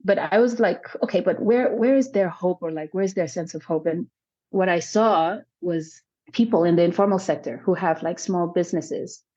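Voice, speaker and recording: A woman's voice: on the low side, moderate pace, a little mellow, muffled recording. A woman filmmaker from South Sudan answering interview questions thoughtfully, often restarting her sentences as she thinks. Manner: thoughtful, many restarts and hedges. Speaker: filmmaker guest.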